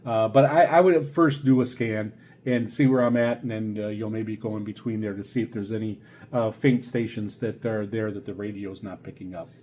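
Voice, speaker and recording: An older man giving a casual, unscripted product review, halting and rambling as he repeats words. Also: lowish voice, fairly even intonation, a little mellow, a little hiss or hum. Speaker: radio reviewer presenting a video series.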